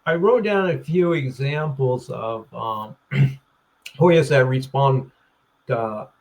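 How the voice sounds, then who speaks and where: Halting, throat-clearing man on a webcam. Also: mid-range voice, darkish tone. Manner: rambling, halting with restarts and throat-clearing, chuckling. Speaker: plant grower, interview guest. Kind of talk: casual interview chat. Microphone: webcam video call.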